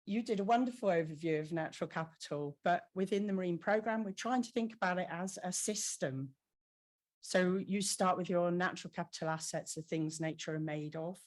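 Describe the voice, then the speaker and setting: A woman presenting at a conference about her team's programme, hoarse from losing her voice, talking in a chatty, informal way with frequent fillers and restarts. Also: fairly low pitch, a little mellow. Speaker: conference speaker from a government marine team.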